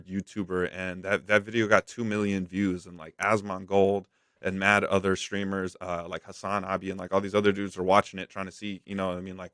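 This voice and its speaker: A man's voice: low-pitched, fairly bright tone, no room sound, clean studio sound. A man co-hosting a podcast, talking through gaming news in a casual, slangy, rambling way. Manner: rambling, slangy, frequent "like" and "dude". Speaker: podcast co-host.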